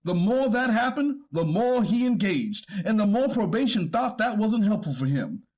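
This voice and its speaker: Man acting, defense attorney, high husky voice, polite, conciliatory, explanatory, staged courtroom reading. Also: sing-song, normal speed. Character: polite male defense attorney pleading for his client. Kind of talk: readers theater reenactment of a court hearing.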